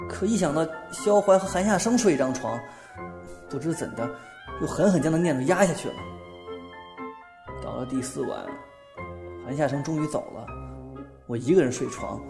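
A man's voice: on the high side, lightly acted. A young man acting in an audio drama as an anxious, sleepless bookshop owner who hesitates and rambles as he confides in a friend. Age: young adult.

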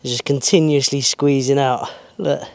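A man's voice: mid-range voice, fairly even intonation, moderate pace, gravelly, no echo. A man in his thirties filming his own building work outdoors at a sawmill yard, talking plainly and thinking aloud with fillers. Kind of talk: casual self-filmed vlog.

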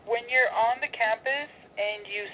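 A woman's voice: on the high side, unhurried. Woman on a tinny, noisy phone line. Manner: patient, searching for words. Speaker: university phone operator giving directions. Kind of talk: unscripted phone directions. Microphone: telephone heard over speakerphone. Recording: tinny noisy phone call through speakerphone.

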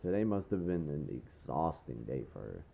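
A man's voice: rumbling low, sing-song, normal speed, gravelly, phone-quality sound, slight background noise, full character voice. A man acting a kind, teasing young stranger who guides a lost girl, speaking casually to the listener in an intimate roleplay audio drama. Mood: quiet, fond. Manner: casual, playfully teasing, mildly awkward, swearing.

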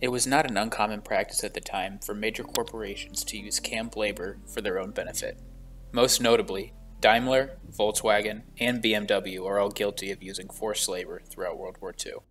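Guy reading a tour voice-over, low voice, slow and serious, quiet guitar behind him. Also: fairly even intonation. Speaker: travel vlogger narrating a tour. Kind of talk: scripted voice-over narration.

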